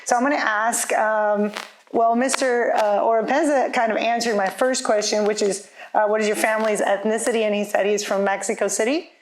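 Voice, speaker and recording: A woman's voice: medium pitch, steady tone, fairly quick, a little hiss or hum. A middle-aged woman, a teacher, casually moderating a school panel with many hesitant fillers. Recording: echoey room.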